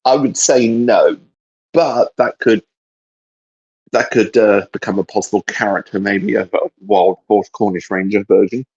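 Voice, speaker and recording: A man's voice: lowish voice, measured pace, creaky, darkish tone, slightly rough, lo-fi sound. A man co-hosting a casual, unscripted chat about geeky fan topics. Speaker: co-host of a fan discussion show.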